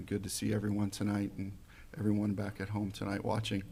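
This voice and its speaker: A man in his forties answering at a school board candidate forum, plain and halting, with fillers and restarts. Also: lowish voice, completely flat delivery, vocal fry, warm tone, a little hiss or hum. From Missouri, USA. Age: 40s.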